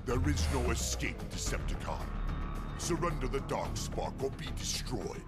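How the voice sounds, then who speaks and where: Menacing, animated robot guardian. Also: male voice, medium pitch, unhurried, over loud music. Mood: threatening. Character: menacing, commanding robot guardian issuing threats. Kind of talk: video game voice acting.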